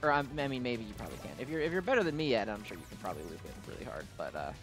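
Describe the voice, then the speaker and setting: A man's voice: fairly low pitch, very animated, very fast, a bit bright. A man hosting a gaming video with casual, slangy and self-deprecating gameplay commentary. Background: music.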